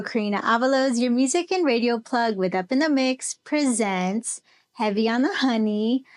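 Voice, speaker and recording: A young woman from Chicago hosting a music podcast, upbeat and chatty as she interviews a guest. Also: fairly high pitch. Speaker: podcast host. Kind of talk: casual interview chat.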